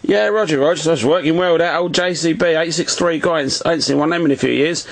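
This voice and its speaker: A man talks in radio jargon at his home set, recorded on a nearby camera. His voice is slightly high, with faint noise behind it. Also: fast, vocal fry. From England. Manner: uses radio jargon, often repeats "Roger". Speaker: amateur CB radio operator filming his contacts. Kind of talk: casual radio contact chat. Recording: faintly noisy phone video beside radio.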